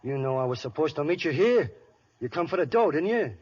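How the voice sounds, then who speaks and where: A man's voice: on the high side, sing-song, a little fast, darkish tone, breathy edge. An actor playing a cocky, threatening small-time American gangster with slangy wise-guy talk in an old radio play. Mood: suspicious. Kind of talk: 1930s-40s radio gangster acting. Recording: muffled, hissy old radio broadcast.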